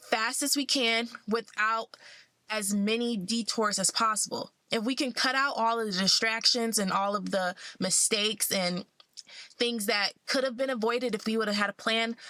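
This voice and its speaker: A woman's voice: on the high side, sharp tone, no echo. A young woman who sounds a bit congested, talking in a casual, upbeat and encouraging way to her vlog followers. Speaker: vlogger.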